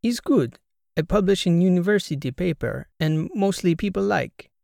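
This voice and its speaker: A man's voice: sits high, animated, normal speed, creaky, darkish tone, no room sound, studio quality, voice pushed up. A man voicing an earnest male Croatian PhD student who speaks clipped English with a Croatian accent and drops his articles. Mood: explaining.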